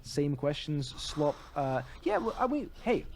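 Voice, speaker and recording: A man's voice: fairly high pitch, very animated, unhurried, a little hiss or hum. A man co-hosting a podcast, talking in a casual, conversational way. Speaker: podcast co-host.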